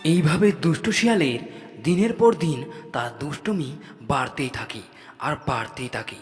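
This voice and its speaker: A man's voice: a little high, normal speed, soft background music. A man narrating a cartoon animal story in a dramatic storybook style. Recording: long hall reverb.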